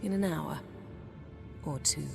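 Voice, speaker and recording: Sorceress, low voice, proud, sharp-tongued, imperious, cinematic video game, music behind. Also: female voice, slow, vocal fry, full character voice. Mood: coolly flirtatious. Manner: imperious, sardonic, articulate.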